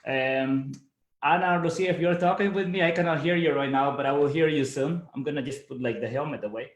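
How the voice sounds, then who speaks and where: A man's voice: fairly high pitch, a little fast. Young man, from El Salvador, webinar presenter, filler-heavy casual talk, echoey room. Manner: casual, with many fillers like "like" and "you know". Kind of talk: online presentation. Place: home office, based in Germany.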